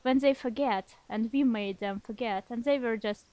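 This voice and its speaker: A woman guest speaking as a learned language, talking in a hesitant way with many pauses and restarts. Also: a little high, mellow, no echo. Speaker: podcast guest. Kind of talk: casual interview talk.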